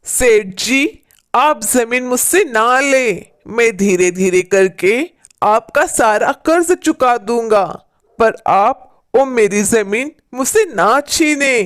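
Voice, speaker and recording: A woman's voice: on the high side, animated, slow. A woman voicing a poor, humble male farmer in a storybook tale, pleading and lamenting in sorrow. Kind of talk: storybook character acting.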